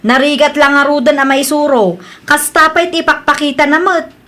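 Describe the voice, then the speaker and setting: A woman's voice: high voice, fairly even intonation, normal speed, pushed and bright. A Filipino woman playing a haughty, hot-tempered senior employee in an audio drama, complaining in a whiny, scornful way. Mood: irritated. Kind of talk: acted audio comedy-drama dialogue.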